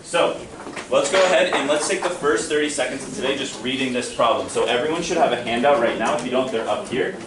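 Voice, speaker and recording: A man's voice: medium pitch, fast talker. A young man teaching a math lesson to a class in a friendly, encouraging, self-deprecating way. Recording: echoey classroom from far off, noisy.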